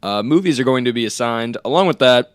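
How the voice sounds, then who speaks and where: A man's voice: medium pitch, lively intonation, fairly quick, dry recording, clean studio sound. A man co-hosting a podcast with casual comedic banter, jokey and giggly with a crude streak. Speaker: podcast co-host.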